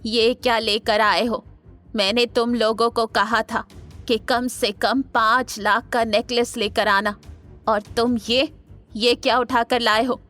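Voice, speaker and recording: An imperious old family matriarch played by a woman in an audio drama. She scolds and taunts with contempt in a high voice, over a music bed. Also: flat delivery, slow pace, a bit bright. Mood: scornful anger. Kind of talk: Hindi audio drama acting.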